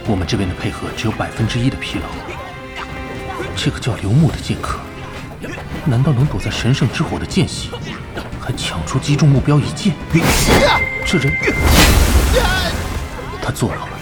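A man playing a sober, analytical guild commander musing to himself in a measured way in an audio drama. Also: medium pitch, animated, close to an everyday voice. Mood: astonished musing. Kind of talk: Chinese audio drama inner monologue. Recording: clear music bed behind the voice.

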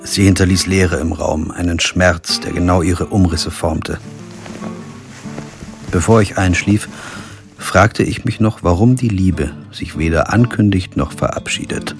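Brooding middle-aged lawyer-narrator in a crime radio play: low, measured, introspective, soft music underneath. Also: male voice, fairly even intonation, vocal fry. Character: brooding, weary lawyer narrating his own investigation. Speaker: narrator and lead. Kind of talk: scripted first-person narration and dialogue in a radio crime drama.

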